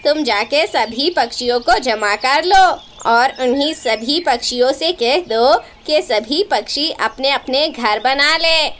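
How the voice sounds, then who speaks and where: A woman voicing a responsible, concerned male parrot in a cartoon story, earnest and deliberate. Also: high-pitched, a bit bright, voice pushed down.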